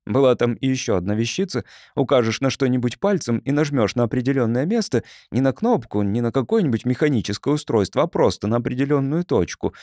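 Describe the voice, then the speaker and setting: Expressive male audiobook narrator, lively pitch. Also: no echo, clean studio sound.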